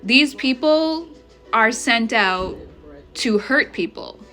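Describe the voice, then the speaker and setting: A woman vlogger speaks to camera with a bright, forceful voice, pressing her points with indignant insistence. She keeps checking in with the listener as she goes. Faint music sits low behind her. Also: animated, slow pace. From Ethiopia. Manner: emphatic, indignant and insistent, often checking in with the viewer. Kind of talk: direct-to-camera monologue.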